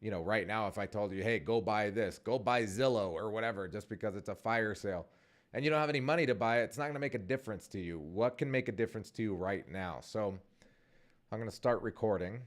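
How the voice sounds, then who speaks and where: A man's voice: lowish voice, quick pace. A man in his forties hosting his own vlog, talking casually and rambling with many fillers and restarts. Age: 40s. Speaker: vlogger and podcast host.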